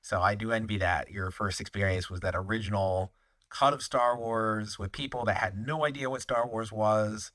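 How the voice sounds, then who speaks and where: A man hosts a fan interview and asks his questions in an upbeat, friendly way. His voice sits fairly low. The sound is dry and close, with no room echo. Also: fairly even intonation, moderate pace, fairly bright tone. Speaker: podcast host, interviewer. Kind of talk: casual interview.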